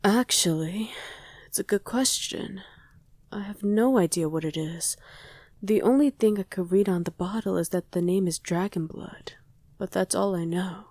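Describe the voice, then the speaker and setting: A woman performs a doting vampire wife in an intimate ASMR roleplay. Her voice is dark, soft and breathy, often slipping into a whisper. She is affectionate and gently teasing, heard very close and dry. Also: medium pitch, moderate pace, no room sound, natural voice. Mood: playful, seductive.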